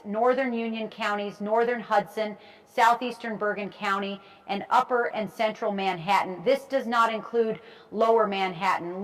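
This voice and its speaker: A woman's voice: medium pitch, lightly acted, low-quality recording. A woman playing a TV meteorologist who explains and instructs in live severe weather commentary. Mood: urgent warning. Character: female TV meteorologist giving urgent tornado coverage. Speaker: weather broadcaster.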